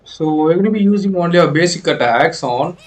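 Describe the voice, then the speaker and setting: Higher-pitched man, halting game commentary. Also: lively intonation. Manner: explanatory, halting, hesitant. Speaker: gaming video creator.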